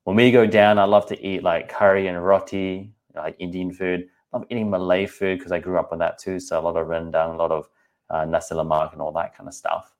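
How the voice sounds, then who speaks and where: Australian man, 30s, deep voice; chatty, candid podcast host talking straight to listeners. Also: little pitch movement, brisk, a little mellow. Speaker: podcast host and personal trainer. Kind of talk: casual podcast monologue.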